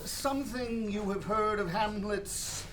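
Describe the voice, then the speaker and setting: A man's voice: high voice, slow, a bit bright, poor audio. An actor playing a formal, welcoming king in a Shakespearean radio play. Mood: courteous.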